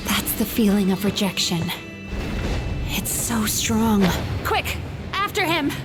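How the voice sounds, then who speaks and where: Earnest, high-voiced spirit counselor. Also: female voice, sing-song. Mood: sombre, then urgent. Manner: measured, clinical, frank. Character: composed, earnest female dream-eating spirit who counsels firmly. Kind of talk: video game voice acting. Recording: clear music behind voice.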